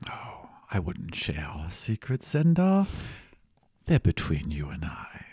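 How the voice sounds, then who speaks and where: A man's voice: low voice, wildly swooping pitch, mellow, slightly rough, no echo, studio quality, voice pushed down, heavily acted. Man putting on a sly, whispery, secretive woman. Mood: insinuating. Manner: coy, insinuating, drawn-out. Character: sly, secretive, creepy woman with long fingers.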